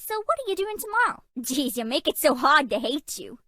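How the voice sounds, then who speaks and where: Sassy young woman, dating game sweetheart, swooping high voice, slangy tough teasing, dry studio sound. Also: very animated, a little fast, sharp tone, no room sound, exaggerated voice. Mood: sassy, grudging. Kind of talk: video game character voice lines.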